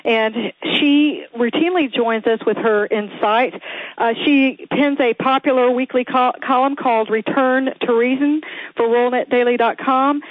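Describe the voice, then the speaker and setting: A woman's voice: on the high side, no room sound. A woman with a Texas accent, hosting a radio talk show and interviewing guests in a folksy, plain-spoken way. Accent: Texan. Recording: tinny phone-like radio audio.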